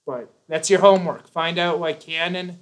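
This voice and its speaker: A talkative man hosting a live stream, reading viewer questions and answering at length. His voice sits a touch high for a man. He jokes, corrects himself mid-sentence, and keeps things casual. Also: fairly even intonation, normal speed, a bit bright. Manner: chatty, rambling, self-correcting, jokey.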